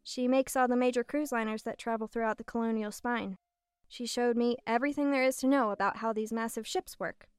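Actress doing shy teen engineer girl of 19, high voice, hesitant, super dry close sound, audio drama. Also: steady tone, a little fast, smooth, no echo, close to an everyday voice. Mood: self-doubting. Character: nineteen-year-old woman engineer, shy, modest, self-doubting.

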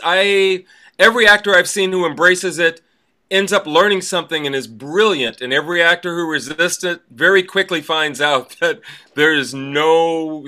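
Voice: medium pitch, pushed and bright. A podcast co-host speaking in an effusive, emphatic way in a conversational interview.

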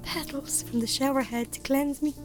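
A woman's voice: high voice, steady tone, moderate pace, close to an everyday voice, rough recording. Actress as young woman in verse drama. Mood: vivid, brisk. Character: young woman narrating her sensual, restless journey. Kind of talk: audio drama verse monologue. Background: faint music.

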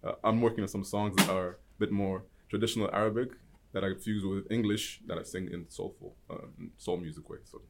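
A man from the Emirates speaks as a guest in an interview, quick and friendly. His voice sits low and he talks at a brisk clip, laughing easily as he explains. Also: fairly even intonation, very noisy. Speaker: guest singer. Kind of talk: conversational interview.

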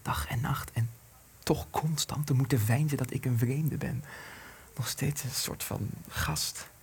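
A man's voice: mid-range voice, a little slow, breathy edge, in a whisper. An actor in a radio play, playing a tormented, ashamed resistance man who is a secret lover in hiding, earnest and intense. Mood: hushed, tender.

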